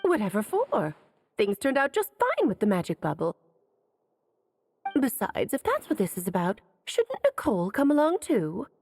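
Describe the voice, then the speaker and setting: A woman's voice: a little high, wildly swooping pitch, normal speed, a little mellow, breathy edge, full character voice. An actress playing a worldly, playful sorceress mother in a video game, teasing and eloquent in an airy, theatrical way. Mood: mock indignation. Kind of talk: video game character acting.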